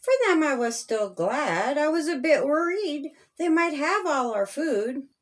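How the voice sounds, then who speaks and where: A woman reading a children's storybook aloud as its author, in a measured, sing-song rhyming delivery. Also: high voice, lively intonation.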